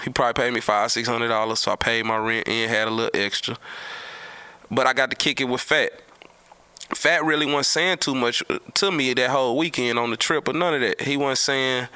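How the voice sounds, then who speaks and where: A middle-aged man tells street stories casually in slang, with emphatic, animated delivery and repeated words. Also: medium pitch, smooth. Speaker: storyteller, host of his own show. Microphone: close podcast mic on a boom arm.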